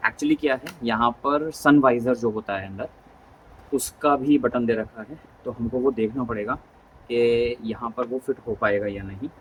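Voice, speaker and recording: A man's voice: mid-range voice, normal speed. A man giving a casual hands-on tutorial as a vlogger, informally thinking aloud step by step. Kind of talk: casual hands-on tutorial chat in Hindi mixed with English words. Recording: clearly noisy background ambience.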